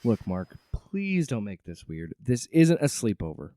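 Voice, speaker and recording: An actor playing a wry, exasperated agency director in an audio drama, with a casual way of talking. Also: male voice, lowish voice, sing-song, unhurried, dark tone, slight background noise. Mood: awkward.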